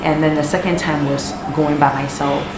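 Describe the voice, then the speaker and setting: A low-pitched young woman with a Ghanaian accent talks casually to her viewers and keeps tagging her sentences with "okay?". Her voice bounces around an echoey room. A loud air conditioner hums behind her the whole time. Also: steady tone, moderate pace, heavy background noise. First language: Twi. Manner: chatty, keeps asking "okay?". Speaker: vlogger. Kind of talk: casual chat to camera.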